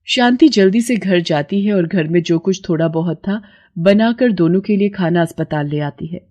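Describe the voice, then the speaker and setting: A woman's voice: fairly low pitch, moderate pace, mellow, dull audio. A woman narrating a scripted moral tale as storyteller.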